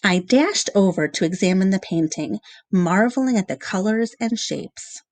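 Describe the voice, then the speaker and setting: A woman reading a children's novel aloud in measured, expressive storybook narration with short pauses between phrases. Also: medium pitch, no room sound.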